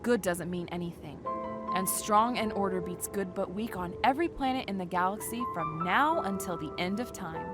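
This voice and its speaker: A woman's voice: on the low side, normal speed, over loud music, natural voice. A woman playing a cynical, ruthless young rogue adventurer in a sci-fi comic dub, with a biting, commanding, sardonic manner. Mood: harsh, dismissive.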